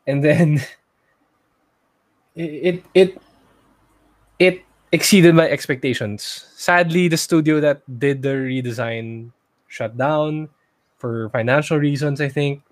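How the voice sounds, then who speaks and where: A man's voice: fairly high pitch, slow pace, pauses often. A man with a Filipino accent co-hosting a podcast in a loose, conversational style. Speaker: podcast co-host. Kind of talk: casual chat.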